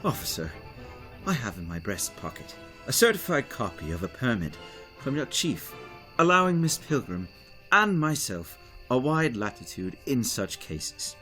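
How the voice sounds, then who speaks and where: An actor playing an officious, old-fashioned gentleman director in an audio drama, formal, genteel and pompous. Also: mid-range voice, sing-song, measured pace, soft background music. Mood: smooth, courtly. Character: courtly, officious old-fashioned male director, easily exasperated.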